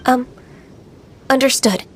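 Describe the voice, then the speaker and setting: Casting: dutiful young immortal maiden for a game, fairly high voice, polite, self-doubting. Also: female voice, takes it slowly, noisy background. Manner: polite, soft-spoken, hesitant, formal. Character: gentle, earnest young female adeptus, dutiful and self-doubting. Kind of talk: video game character acting. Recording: music under the voice.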